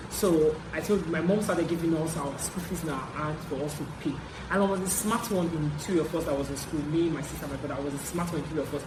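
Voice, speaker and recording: A young adult man with a Nigerian accent tells stories casually, in an animated way, repeating phrases for emphasis as a vlogger. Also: on the high side. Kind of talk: casual story-time chat. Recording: roomy echo, clear background noise.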